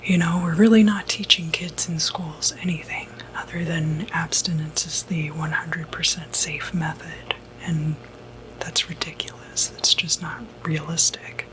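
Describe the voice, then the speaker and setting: Casting: female, breathy whisper, unhurried, gentle non-judgmental doctor for ASMR medical roleplay, slightly low pitch. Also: fairly even intonation, slight background noise, poor audio. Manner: gentle, reassuring, hesitant bedside talk. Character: sympathetic, non-judgmental doctor who treats demonic possession.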